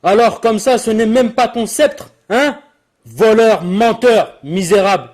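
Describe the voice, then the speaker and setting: A man playing an anxious, imperious rich lord and father, quick to anger, in a dramatic animated religious story. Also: sits high. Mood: furious, anguished. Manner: commanding, dramatic, accusing. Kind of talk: French-language animated religious story dub.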